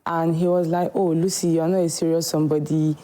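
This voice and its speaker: A woman from Nigeria, a shoemaker guest telling her story in a TV studio interview, earnest and unhurried. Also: low-pitched, level tone, warm tone.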